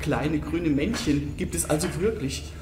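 A man playing an eager, naive film nerd in space, excitable, in an amateur audio drama. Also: on the high side, a little slow, background noise, close to an everyday voice. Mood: awed. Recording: echoey, distant, music underneath.

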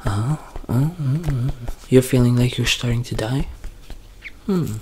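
A soft-spoken young man in an ASMR roleplay as a quirky, deadpan doctor, hesitant and halting, sometimes drifting toward a whisper. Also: medium pitch, background noise. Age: young adult. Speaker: ASMR performer playing a bumbling doctor. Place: small curtained room at home, indoors.

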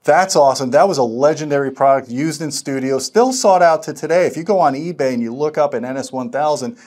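A man's voice: a little high, fairly quick, darkish tone. A middle-aged American man hosting a casual video interview in an enthusiastic, chummy way. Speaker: host of audio review show. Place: small indoor demo room. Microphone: lapel mic.

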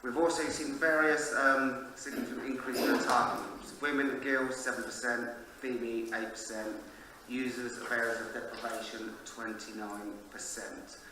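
A man giving an offhand, halting, informal reply as a councillor at a council meeting. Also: medium pitch, level tone. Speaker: city councillor. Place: large reverberant meeting hall. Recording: noisy echoey hall, distant mic.

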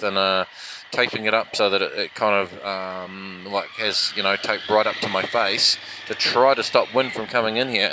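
A man chatting casually as a motovlogger, rambling and self-deprecating, talking inside a helmet while riding. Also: fairly low pitch. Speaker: motovlogger reviewing action cameras. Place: outdoors on a motorbike, inside a helmet. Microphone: helmet microphone with foam fluffy. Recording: noisy helmet mic, vehicle and wind noise.

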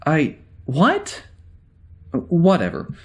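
A man playing a wry, easily exasperated security officer in a sci-fi audio drama, talking in a halting, conversational way. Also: a little high, wildly swooping pitch, heavily acted. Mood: distracted.

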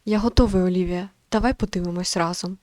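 A woman reading the part of a gentle, anxious young man in a visual novel, softly and earnestly pleading. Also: fairly low pitch, fairly even intonation, fast, no echo, close to an everyday voice. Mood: earnest, resolved.

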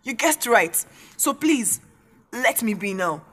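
A haughty young Nigerian student played by a woman in an audio drama. Her voice is fairly high, and she speaks with sarcastic, confrontational bite. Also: lively intonation, slow, a bit bright, breathy edge, exaggerated voice. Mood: irritated. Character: haughty, sharp-tongued young female student.

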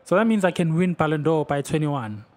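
A young man who is not a native English speaker, rambling excitedly over his own gameplay with lots of fillers. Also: fairly high pitch, brisk. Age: young adult. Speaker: gaming youtuber. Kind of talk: casual gameplay commentary.

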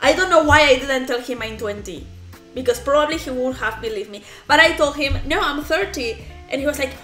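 Animated woman in her thirties, high voice. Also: echoey room, faint music behind. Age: 30s. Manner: animated, gestures a lot, laughs often, halting restarts. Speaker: reaction video host. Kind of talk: casual storytelling to camera. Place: small indoor room at home, plants behind.

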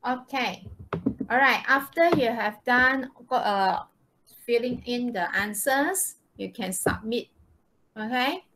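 A woman's voice: fairly high pitch, slow, noisy background. A woman teaching a live online English class, often checking understanding with short questions. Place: online video-call lesson.

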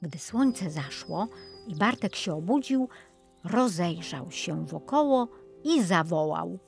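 A woman narrating a children's fairy tale as an expressive audiobook storyteller. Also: fairly low pitch, slow, creaky, faint music behind.

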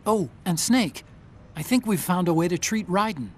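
An actor playing an earnest, brainy tech ally in a video game, giving clear, urgent mission briefings. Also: male voice, sits high, expressive, normal speed, slight background noise. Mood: reassuring.

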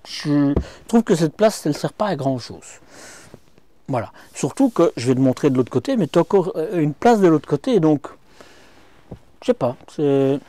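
A middle-aged man walks through a camper van interior, chatting directly to his viewer as he points out every detail. His voice sits a little high but mellow and soft-edged. He's picked up on a clip-on mic, close and dry, with no room ring. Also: animated, moderate pace, dry recording. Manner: chatty, addresses viewer directly, frequent hop-hop and hein. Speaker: motorhome reviewer. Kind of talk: casual walkthrough vlog. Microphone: small clip-on mic.

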